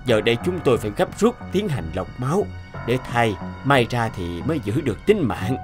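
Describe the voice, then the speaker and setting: A man voicing a serious, sympathetic middle-aged doctor in a cartoon dub, speaking in a measured manner. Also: medium pitch, very animated, over music, natural voice. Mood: grave, sympathetic. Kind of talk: dubbed cartoon dialogue.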